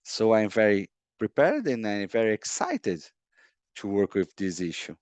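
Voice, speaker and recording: A middle-aged Brazilian man whose first language is Portuguese, speaking English with a Brazilian accent and often pausing to search for words on a video call. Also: lowish voice, wildly swooping pitch, a little mellow, no echo. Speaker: guest, sports lawyer. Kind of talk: podcast interview.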